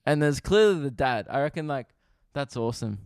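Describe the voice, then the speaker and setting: Australian male comedy podcast host, close mic, smooth clear voice, loose and sweary, cracks himself up. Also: mid-range voice, expressive, a little fast, a little mellow, no room sound. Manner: riffing, swears freely, laughs at his own jokes. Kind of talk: casual solo podcast chat.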